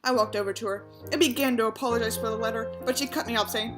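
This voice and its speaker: A woman's voice: a little high, fairly even intonation, fast talker, music in the background. A woman reading a story aloud as a narrator, breaking in with mocking, sarcastic asides and casual swearing. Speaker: audiobook reader and commentator.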